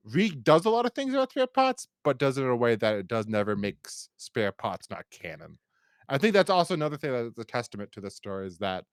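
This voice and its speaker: A man's voice: fairly low pitch, dry recording, clean studio sound. An American man hosting a podcast, talking casually and enthusiastically in a rambling fan-review style. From United States. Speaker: podcast host.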